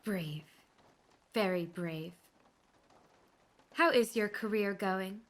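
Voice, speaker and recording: A woman's voice: medium pitch, extremely slow. A young woman acting a despairing, bitter and defiant young woman in a radio play, dramatic and scornful. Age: young adult.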